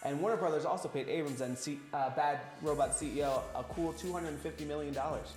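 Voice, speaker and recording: Jokey young man, slightly high voice. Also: fast talker, darkish tone, reverberant, faint music behind. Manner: loose, jokey, fillers. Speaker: news co-host. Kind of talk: casual news banter.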